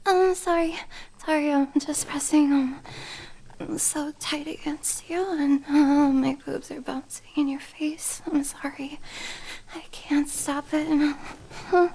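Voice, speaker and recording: A woman's voice: sits high, steady tone, slow, warm tone, slight background noise, poor audio. A woman playing a shy, stammering young elf. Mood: nervous. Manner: nervous, stammering, apologetic, many whispers and giggles. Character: shy, flustered young female elf traveler. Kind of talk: audio roleplay.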